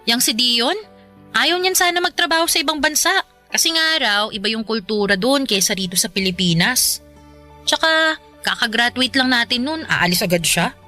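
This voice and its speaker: A young Filipino live-in girlfriend in a radio drama. Her voice is high and bright, with a casual, teasing, affectionate way of talking. Soft music plays faintly behind her. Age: young adult. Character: affectionate young live-in girlfriend, playful but hesitant about marriage. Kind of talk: Philippine radio drama acting, naturalistic.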